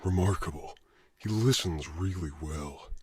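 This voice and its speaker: A man voicing a gruff, patient superhero combat mentor in an amateur comic dub, stern and instructive. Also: low-pitched, measured pace, a little mellow, dry recording, clean studio sound, heavily acted. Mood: calm, impressed.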